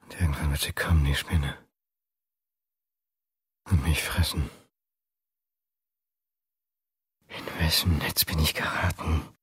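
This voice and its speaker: Husky, low-voiced brooding man. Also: steady tone, moderate pace, no echo, studio quality, exaggerated voice. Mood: bleak, resigned. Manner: introspective, murmured monologue dropping to a whisper. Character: brooding male historian held in custody. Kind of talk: German crime radio play acting.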